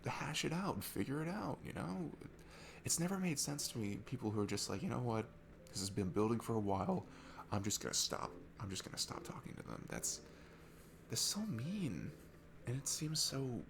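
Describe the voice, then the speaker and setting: A man playing a sweet, nervous young guy at a bar in an intimate ASMR roleplay, talking in a halting, earnest, awkward way. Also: mid-range voice, creaky, noisy background. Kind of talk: ASMR roleplay audio, casual intimate chat. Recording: music behind the voice. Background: music.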